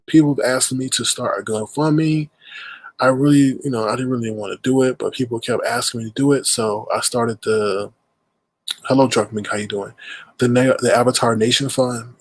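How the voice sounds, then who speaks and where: A man with a stuffed-up nose hosting a casual livestream, rambling and greeting viewers in chat mid-sentence. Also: mid-range voice. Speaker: livestream host.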